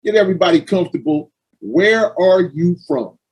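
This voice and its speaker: High-pitched hype-man host. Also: normal speed, slightly rough, rough recording. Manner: energetic, repetitive hype phrases, laughs often. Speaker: podcast host. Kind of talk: hype interview hosting.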